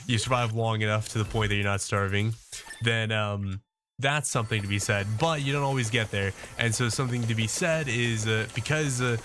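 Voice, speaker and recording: A man's voice: fairly low pitch, fairly even intonation, a little fast, a bit bright, slight background noise. A man casually commenting on his gameplay as a video host, rambling with many fillers and restarts, his nose a bit congested.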